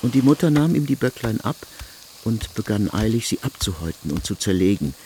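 A man narrating a literary story from a script, in a measured, deliberate storytelling manner. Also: lowish voice, very animated, a little mellow, slight background noise.

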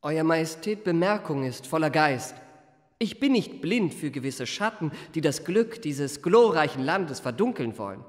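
An actor playing a young marquis in a radio play, suave and gushing, with florid, elaborately courteous speech. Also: male voice, fairly high pitch, animated, normal speed, long reverb tail, natural voice. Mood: earnest, courtly. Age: young adult. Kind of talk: literary radio play, first-person narration and dialogue.

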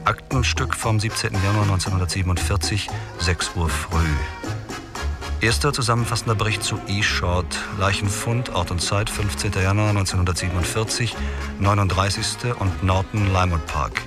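A man voices a police report, read in a dry, matter-of-fact way with a low voice. Music plays clearly beneath the reading, as in a radio drama. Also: normal speed, natural voice. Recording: music under voice.

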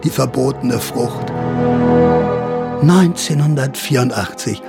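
A man's voice: mid-range voice, slow pace, gravelly, music in the background. An actor playing a sly older counterculture veteran who narrates his memories in a radio drama, confiding, wry and theatrical. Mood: confessional, ironic.

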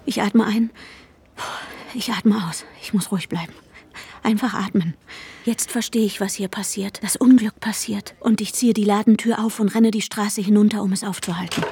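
An actress playing an anxious wife searching for her missing husband in a radio drama, urgent and breathy, often slipping toward a whisper. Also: medium pitch, fairly even intonation, moderate pace, dark tone. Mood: tense, inward. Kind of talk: radio drama acting with intimate inner monologue. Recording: guitar music behind the voice. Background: guitar music.

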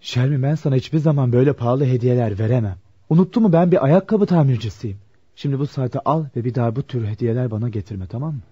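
A man's voice: mid-range voice, quick pace, mellow, breathy edge, close to an everyday voice. An actor playing a troubled, proud and morally strict young working man in a radio play, earnest and brooding. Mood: firm, uneasy. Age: young adult. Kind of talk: Turkish radio theatre acting. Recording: dull lo-fi radio drama recording.

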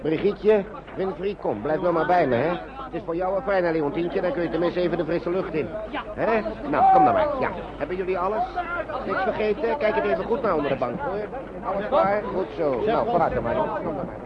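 Kindly, fussing uncle, highish lively voice. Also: male voice, a little fast. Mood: coaxing. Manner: coaxing, repeating himself, ending phrases with little questioning tags. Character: kindly, fussing uncle shepherding refugee children. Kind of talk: Dutch radio play acting. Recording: muffled noisy old radio play recording. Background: loud crowd and vehicle noise.

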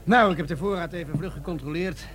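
Radio play sidekick: worried, respectful corporal male actor, highish pitch, murmuring crowd around him. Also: fast talker, lightly acted. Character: worried corporal, deferential partner in crime. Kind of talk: Dutch radio play acting.

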